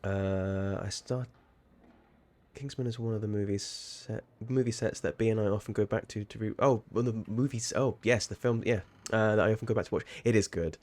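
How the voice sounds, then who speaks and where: A man's voice: lowish voice, fairly even intonation, moderate pace, a little mellow. A man chatting casually while streaming a game, rambling and sweary, with sudden startled outbursts. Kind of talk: casual livestream chat.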